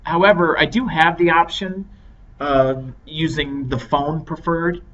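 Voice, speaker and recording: Higher-voiced male instructor, dull noisy recording. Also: steady tone, fairly bright tone. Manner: measured, explanatory step-by-step delivery. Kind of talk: software tutorial demonstration. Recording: dull lo-fi microphone with clear noise.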